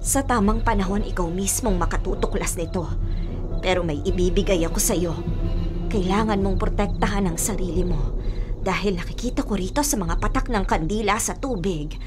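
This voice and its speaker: A woman's voice: mid-range voice, normal speed, natural voice. Ominous old Filipino grandmother seer, acted by a woman. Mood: grave, foreboding. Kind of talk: Filipino radio drama acting. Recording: music bed under the dialogue.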